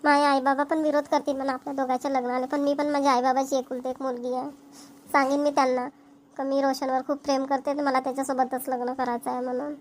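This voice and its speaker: High female voice, woman acting as cartoon village housewife, rural Vidarbha Marathi accent, colloquial comedy dialogue. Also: little pitch movement, quick pace, a little hiss or hum, natural voice. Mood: earnest. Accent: Maharashtrian, rural Vidarbha Marathi. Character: young village women, mothers and nagging wives in a comedy.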